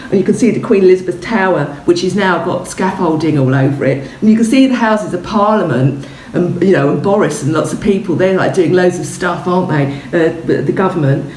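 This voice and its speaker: A woman teacher giving an informal show-and-tell lesson to children, chatty, explaining things simply and often checking in with a quick okay. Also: lowish voice, darkish tone. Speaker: primary school teacher. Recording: roomy echo, distant mic, faint noise.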